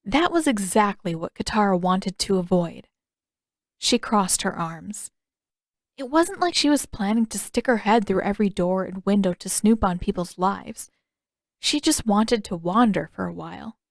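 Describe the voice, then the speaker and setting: Woman reading a story audiobook style, mid pitch, super dry recording. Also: lively intonation, normal speed, creaky, no echo. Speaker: audiobook narrator. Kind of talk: scripted fiction narration.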